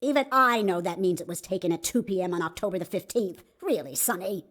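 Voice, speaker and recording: A woman's voice: on the low side, a little fast, a bit bright. A woman voicing a feisty, folksy older female security guard and witness in a courtroom game. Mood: sassy, mocking. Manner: folksy, sassy, scolding. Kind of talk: video game fan voice acting.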